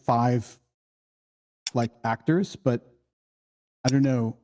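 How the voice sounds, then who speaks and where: Mellow-voiced man, tentative and casual. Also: medium pitch, drawn-out words. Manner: tentative, frequent "like". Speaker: participant in a table read. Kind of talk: casual chat. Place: online voice meeting.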